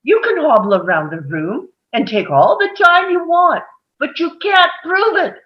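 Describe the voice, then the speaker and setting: A woman playing a stubborn, furious male juror in a dramatic table read, ranting haltingly with anguish. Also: extremely high pitch, sing-song, full character voice. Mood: angry, scornful. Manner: ranting, halting and repetitive, swinging to anguished shouts. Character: stubborn, furious man on a jury, breaking down. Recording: muffled, roomy home recording.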